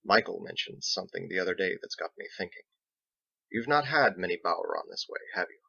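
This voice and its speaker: Captain, a man voicing a low, gravelly seafarer. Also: expressive, a little fast, bright, forceful tone, muffled recording, heavily acted. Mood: thoughtful, wary. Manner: formal, old-fashioned nautical phrasing. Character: Captain, measured, thoughtful seafaring man, wary and principled. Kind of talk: audiobook character dialogue.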